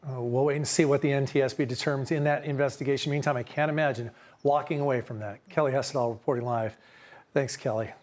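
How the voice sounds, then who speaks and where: A man's voice: mid-range voice, fairly even intonation, quick pace, darkish tone. A man with an American accent presenting TV news as an anchor.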